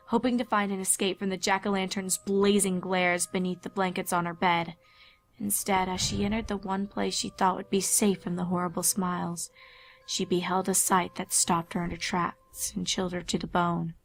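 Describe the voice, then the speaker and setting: A woman reading a scripted horror story as a dramatic narrator. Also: on the low side. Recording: faint music behind narration. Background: faint music.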